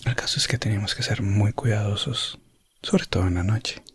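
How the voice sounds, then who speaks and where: A man narrating a medieval ASMR roleplay as an elf prince explorer, in a hushed voice that slips in and out of whispering. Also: fairly low pitch, fairly even intonation, normal speed, breathy edge. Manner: hushed, drifting between soft voice and whisper. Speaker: ASMR storyteller.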